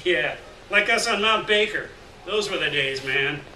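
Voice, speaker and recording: A man's voice: on the high side, animated, a little slow, pushed and bright, exaggerated voice. A man voicing a boisterous, devil-may-care drifter buddy with slangy, brash talk, in a story read aloud. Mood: brash, cajoling. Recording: roomy, distant mic, noisy venue.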